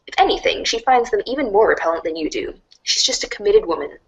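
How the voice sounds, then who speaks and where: A woman voicing a wry, mock-grandiose storybook narrator in a dramatic read-along style, deadpan and sardonic. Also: mid-range voice, fast, gravelly, highs cut off. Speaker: narrator of a fan readers' theater show.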